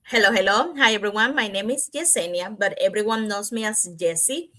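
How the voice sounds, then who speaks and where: A woman's voice: medium pitch, fairly quick, bright, forceful tone. A woman from Cuba talking as a panelist in a casual chat, rambling with many fillers and restarts.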